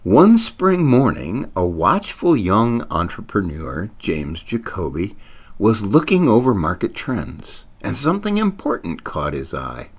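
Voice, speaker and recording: A man's voice: on the low side, breathy edge. A man reading a scripted story aloud as its narrator, with theatrical emphasis on wordplay and punchlines. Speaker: author reading own short story.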